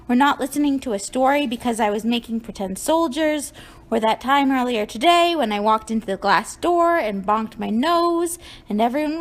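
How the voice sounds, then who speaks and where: A woman's voice: fairly high pitch, close to an everyday voice. A woman playing an anxious, self-conscious young girl in a children's puppet show, fretting and musing aloud in a chatty way.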